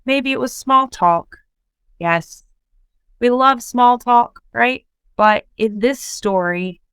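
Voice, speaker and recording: A young woman teaching English, explaining the meaning of words patiently with simple synonyms and unhurried phrasing. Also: medium pitch, a bit bright, clean tone, no room sound.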